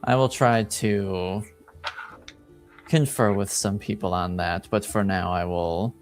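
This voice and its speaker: A man's voice: low voice, fairly even intonation, takes it slowly, soft background music. A man playing a reserved, aloof duke who speaks in clipped, stiffly formal, courteous phrases.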